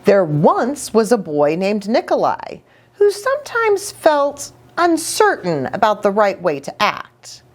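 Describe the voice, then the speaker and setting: Lady reading a kids book out loud, super animated, takes her time, chatty asides. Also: medium pitch, slow pace. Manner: expressive, chatty asides to children. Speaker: children's ministry storyteller. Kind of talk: read-aloud storytelling for young children.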